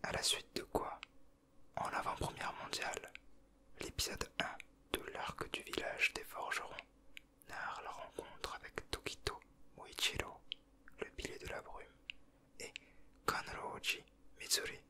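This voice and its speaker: A man whispering softly in a casual ASMR style, with a breathy, hushed voice. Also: measured pace, long pauses. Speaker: ASMR video creator. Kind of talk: whispered ASMR, chatting and reading aloud from a booklet.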